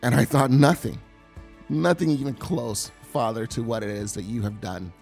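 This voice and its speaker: A man with a gravelly, mid-pitched voice tells his story in a confessional sermon. His delivery is earnest and halting, with frequent restarts and repeated words. Soft music plays faintly underneath. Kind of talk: personal testimony sermon.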